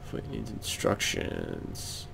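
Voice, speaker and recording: A man with a raspy voice casually narrating a strategy game at home, thinking aloud hesitantly with many ums. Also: drawn-out words, noisy background. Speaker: let's play gamer. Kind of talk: casual gameplay commentary. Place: home room.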